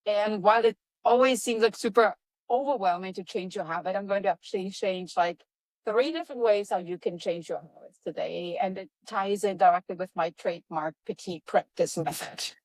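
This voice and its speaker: A woman's voice: normal speed, no room sound, rough recording. A woman with a European accent speaks as a podcast guest, full of energy and emphasis. Her voice sits in a middle register. The sound is dry and close. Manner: energetic, upbeat, emphatic with lively pitch jumps. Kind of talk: enthusiastic interview answers.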